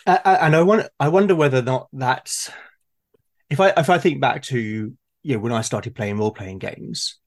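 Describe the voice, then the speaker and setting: A man with a medium-pitched voice talks as the host of a relaxed discussion. He muses as he goes, halting and repeating the starts of his sentences while he works through a thought. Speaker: host and game master in a conversation. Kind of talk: casual reflective discussion.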